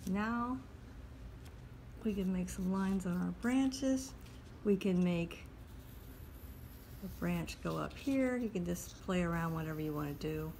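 A woman calmly talking through a step-by-step drawing lesson as she sketches at a table. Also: fairly low pitch, creaky, a little hiss or hum. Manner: calm, unhurried, talks while drawing. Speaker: drawing instructor. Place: at a table indoors.

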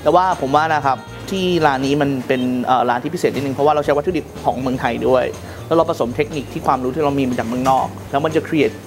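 A man in his thirties from Thailand, an executive chef, talking to camera in a restaurant kitchen on a lapel mic, relaxed and measured. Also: mid-range voice. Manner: relaxed, mixes English terms, measured. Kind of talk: to-camera introduction. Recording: music bed under voice.

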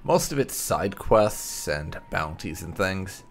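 A man's voice: low-pitched, big pitch swings, slow pace, slight background noise. A man hosting a gaming channel, giving casual, rambling let's play commentary as he thinks aloud. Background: faint game music.

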